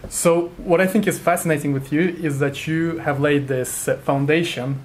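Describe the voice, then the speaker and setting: A man's voice: on the high side, steady tone, normal speed, a little hiss or hum. A man hosting a channel interview, upbeat and friendly as he prompts his guest with questions.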